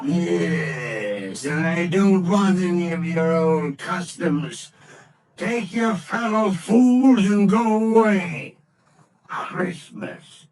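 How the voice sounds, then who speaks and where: A bitter old miser in a vintage radio play. He snarls and sneers, his fairly high voice leaping around in pitch as he barks at everyone. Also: animated, drawn-out words, a little mellow, exaggerated voice. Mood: scornful. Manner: snarling, scornful, barking outbursts. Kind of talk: 1940s radio acting.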